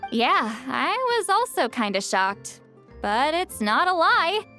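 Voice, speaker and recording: Flustered, proud ex-commander. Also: female voice, sits high, sing-song, full character voice. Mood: wry. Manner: guarded, quick to fluster, wry. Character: proud woman, former commander, defensive and easily flustered. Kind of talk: video game voice acting. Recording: game audio over faint music.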